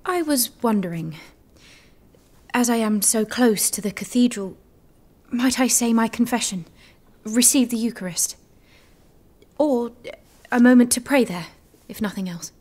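A woman's voice: on the high side, a little slow, darkish tone, dry recording. A woman playing a devout, resolute young peasant prisoner in an audio drama, earnest, plain-spoken and guarded. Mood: humble, earnest.

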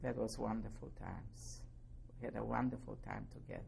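A woman in her seventies from Poland, giving oral history testimony slowly and carefully, spelling out names. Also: very deep voice, vocal fry, a little mellow, slight background noise. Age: 70s. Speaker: interviewee.

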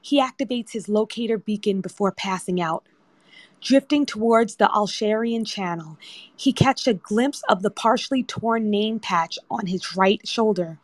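A woman's voice: medium pitch, moderate pace, no echo. A woman narrator reading screenplay directions as scripted narration. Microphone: remote audio chat app.

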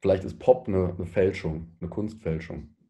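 A man in his thirties in a panel discussion, thinking aloud haltingly and repeating words as he searches. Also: sits low, steady tone, dark tone. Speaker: panelist, artist.

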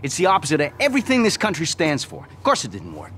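An actor playing a cynical, gruff middle-aged American patriot in a video game, blunt and profane. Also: male voice, on the high side, huge pitch swings, quick pace, pushed and bright, faint music behind, full character voice. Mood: indignant. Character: cynical, gruff patriot man, bitter and passionate. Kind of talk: video game cutscene acting.

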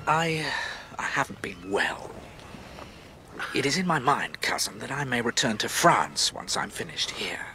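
A man's voice: mid-range voice, very animated, unhurried, creaky, sharp tone, a little hiss or hum, exaggerated voice. An actor playing an evasive, self-serving king in a radio drama, hesitant and slippery in his excuses.

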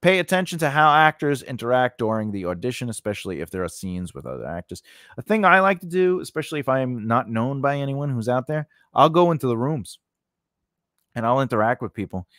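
A man's voice: mid-range voice, sing-song, a little fast, a bit bright, dry recording. A middle-aged man hosting an informal lesson into a close studio microphone, rambling conversationally with blunt asides and anecdotes. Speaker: host teaching scriptwriting and casting. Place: indoor home studio, close and dry. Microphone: large studio microphone on boom arm, close.

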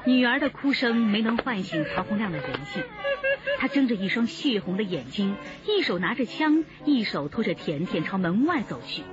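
Woman narrating dramatically, very animated pitch. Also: moderate pace. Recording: dull sound under music.

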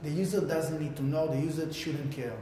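Echoey, higher-pitched man presenting haltingly. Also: steady tone, dark tone. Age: 30s. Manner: halting, filler-heavy delivery with repeated words. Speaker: tech company founder presenting a product. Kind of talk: informal keynote explanation to camera. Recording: very echoey, reverberant room with faint rumble.

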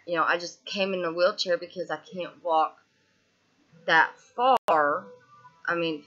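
A young woman with a Southern US twang talks straight into her laptop webcam from a small room, venting her frustrations in a loose, rambling video diary. Her voice is bright and forward, and it often stumbles over restarts and fillers. The audio is dull and lo-fi, typical of a built-in webcam mic. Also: lowish voice. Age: 20s. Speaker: vlogger. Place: small indoor room, seated on a couch.

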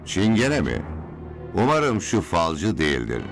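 Older male chief, cartoon dub, bass-deep, gruff, skeptical, expressive intonation. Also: takes it slowly, music in the background, full character voice. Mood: stern, skeptical. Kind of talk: dubbed cartoon acting.

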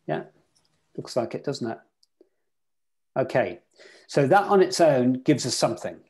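A man's voice: on the low side, darkish tone, slightly rough. A man teaching sailing weather in an online club lecture over a video call, quizzing his listeners as he goes. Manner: interactive, quizzes the audience.

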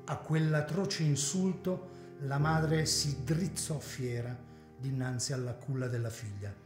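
A man narrating a serial radio drama with an expressive, theatrical reading. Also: mid-range voice, a little slow, over music. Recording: echoey community hall.

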